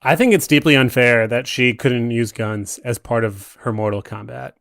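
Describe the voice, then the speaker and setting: Medium-pitched man in a dry-sounding space. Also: moderate pace, creaky, a bit bright, dry recording. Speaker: podcast co-commentator. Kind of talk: casual, conversational film commentary.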